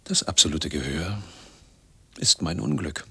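Deep-voiced, brooding middle-aged man. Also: big pitch swings, measured pace, creaky, bright, forceful tone, breathy edge, exaggerated voice. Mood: bitter, brooding. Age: 40s. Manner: brooding, clipped fragments, literary phrasing. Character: lonely, noise-obsessed man in his mid-forties, bitterly brooding. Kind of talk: radio drama monologue.

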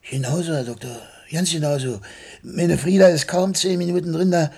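An actor playing a chatty, stubborn older retired baker from a radio play, with a Berlin accent, a rough voice and a rambling, dramatic way of telling things. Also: male voice, fairly high pitch, moderate pace, dark tone. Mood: agitated complaining. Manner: colloquial, rambling, dramatic storyteller. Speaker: patient. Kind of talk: German radio play acting.

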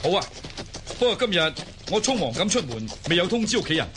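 A man playing a high-voiced, righteous young Mongol. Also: big pitch swings, quick pace, background noise. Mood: friendly. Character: righteous young Mongol chieftain's son, loyal and brave. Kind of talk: Cantonese audio drama acting.

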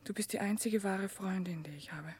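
An actress playing a gentle, fragile young mother in a 1960s radio play, breathy and husky as she pleads. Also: medium pitch, normal speed. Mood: tender, pleading.

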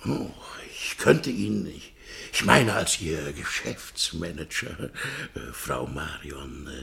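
An actor playing a meek, flustered middle-aged husband in a German radio play, hesitant and deferential. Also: male voice, extremely low pitch, animated, slow, vocal fry, a bit bright, heavily acted.

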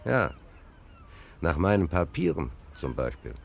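An actor playing a talkative, wry, soft-hearted homeless angler in a German radio play, colloquial and repeating himself. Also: male voice, low voice, sing-song, a little slow, gravelly, slight background noise, full character voice. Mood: defensive, plaintive.

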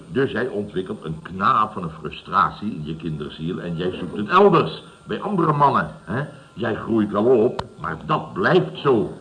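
Blustery boss with wildly swooping intonation. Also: male voice, on the low side, pushed and bright, slight background noise, exaggerated voice, poor audio. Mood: mock analytical. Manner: rambling, exasperated, repeats himself. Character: blustery, indignant, long-winded building contractor boss. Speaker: company boss in a radio comedy. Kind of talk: Dutch radio comedy acting, around 1970.

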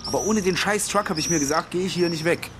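An actor playing a gruff, curt working-class father in a naturalistic German radio drama, bossy and prone to swearing. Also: fairly high pitch, fast, background noise, lightly acted. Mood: sullen grumbling.

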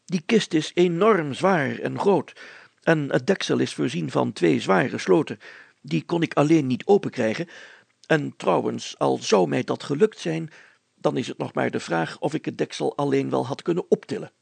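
A man voicing an excitable, secretive village mayor in an audiobook, telling things emphatically and deliberately in a creaky voice. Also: on the high side, no room sound, full character voice. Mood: eager, plotting. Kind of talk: acted audiobook dialogue.

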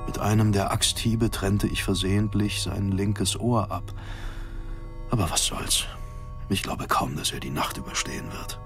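An actor playing a polar expedition captain, dictating a grim, callous logbook in a measured, matter-of-fact way. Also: male voice, fairly low pitch, a bit bright, soft background music. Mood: sober, grim. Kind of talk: radio drama journal monologue.

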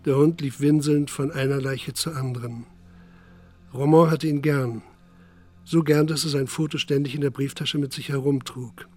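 An actor playing a sober, restrained narrator in a scripted radio drama. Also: male voice, medium pitch, steady tone, moderate pace, a little mellow, soft background music, natural voice. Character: sober male narrator chronicling a crime.

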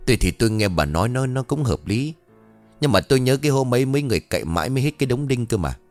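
A man voicing an older, superstitious market woman who chides her friend in a measured way. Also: mid-range voice, very animated, darkish tone, faint music behind, voice pushed up, close to an everyday voice. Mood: cautioning. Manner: warning, chiding, measured.

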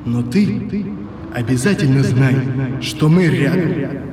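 An actor playing a tired, kindly cavalry rider from a dream in a radio drama, speaking in a measured, reassuring way. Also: male voice, medium pitch, animated, dark tone, a little hiss or hum. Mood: calm, reassuring. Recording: echoing long reverb, distant from mic.

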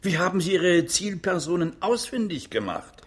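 An actor playing a probing, formal lawyer who questions in a clipped manner with a husky voice in a courtroom radio drama. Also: male voice, sits high, sing-song, moderate pace, exaggerated voice. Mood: pointed, formal. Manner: clipped, interrogating.

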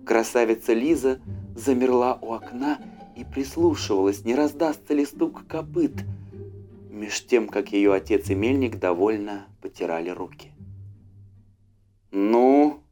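A man narrating a fairy tale as an audiobook, with an expressive, dramatic storyteller's delivery. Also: medium pitch, normal speed. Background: faint music.

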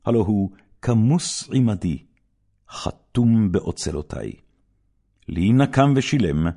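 A low-voiced man reads scripture in a solemn, declamatory way, pausing dramatically between short verses. His tone is dark and mellow with a husky edge, and his pitch rises and falls widely as he lifts and drops each phrase. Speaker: Bible reader.

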